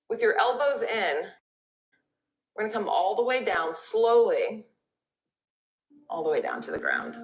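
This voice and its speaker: Echoey woman yoga instructor over music. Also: medium pitch. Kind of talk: guided yoga class instruction, step-by-step cues. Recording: roomy echo with music behind.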